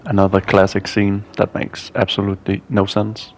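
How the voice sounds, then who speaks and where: A man's voice: on the low side, completely flat delivery, moderate pace, a little mellow, faint music behind. A man narrating a scripted video game walkthrough as a guide.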